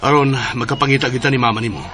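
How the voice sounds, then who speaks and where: A man's voice: medium pitch, fairly even intonation, very fast, a bit bright, a little hiss or hum, lightly acted. A male actor playing a father searching for his wife in a Philippine radio drama, with a Cebuano Filipino accent. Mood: urgent.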